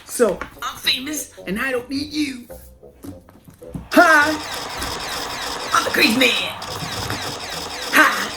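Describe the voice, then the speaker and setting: A man's voice: extremely high pitch, slow pace, pauses often, background noise, pitched above the performer's own voice. A man voicing a manic, gloating crazy man who boasts about being famous, in a podcast intro skit.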